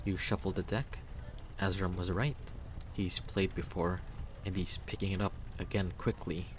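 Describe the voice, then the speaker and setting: A man's voice: low-pitched, steady tone, moderate pace, slight background noise. A man reading scripted second-person story narration aloud, as the narrator of a game.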